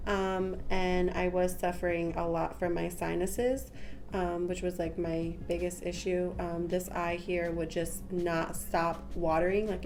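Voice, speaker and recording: A woman's voice: fairly low pitch, darkish tone, slight background noise. A young woman with a congested, stuffy voice, chatting casually through a makeup tutorial with lots of "um" and "like" fillers. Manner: chatty, rambling, with many "um" and "like" fillers. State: congested from a cold. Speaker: beauty vlogger. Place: small room at home, patterned wallpaper behind. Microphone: camera at close range, head and shoulders.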